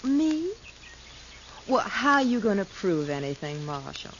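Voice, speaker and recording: A woman's voice: mid-range voice, sing-song, takes it slowly. An American woman playing a brazen, greedy frontier wife, coy and sassy with false sweetness, in a 1950s radio western. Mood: smugly sweet. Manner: coy, mock-innocent, sassy. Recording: dull, hissy 1950s radio recording.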